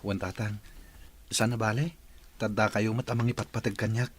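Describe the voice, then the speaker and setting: A man's voice: on the low side, level tone, moderate pace, slight background noise, natural voice. A man playing a dutiful, hurt young student son in an audio drama, respectful and plaintive.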